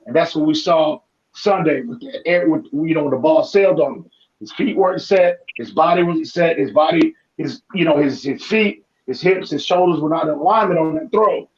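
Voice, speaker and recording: A man with a raspy, worn-out voice breaks down football plays in an animated, searching way, often restarting his sentences. His voice sits a bit high for a man. The sound is dull and lo-fi, like an online call. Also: moderate pace. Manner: animated, analytical, many restarts. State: hoarse. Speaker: football analyst guest. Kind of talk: casual sports talk.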